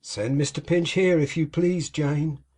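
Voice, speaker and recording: A man voicing a pompous, sanctimonious middle-aged gentleman in an audiobook, with a slightly husky, raised voice and grand theatrical phrasing. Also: a little high, steady tone, unhurried, pitched above the performer's own voice. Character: pompous hypocritical middle-aged male architect.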